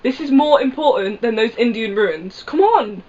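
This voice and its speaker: A woman's voice: fairly high pitch, expressive, moderate pace, darkish tone, lightly acted. A woman voicing a young, sarcastic companion who grumbles often. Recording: dull lo-fi with faint hiss.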